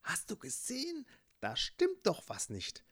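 A tiny glowworm from a storybook , voiced by a man, speaks high and hushed, with an odd, distant color. It is suspicious and excitable. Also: a little slow, full character voice.

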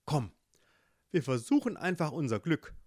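A man's voice: on the high side, very animated, normal speed, a little mellow, no room sound, studio quality. A man voicing a clever, curious male fox in a spoken fairy tale, talking persuasively.

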